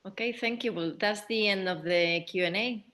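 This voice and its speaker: Woman, bright forward voice, medium pitch, event moderator, hosting a discussion. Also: moderate pace. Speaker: host and moderator.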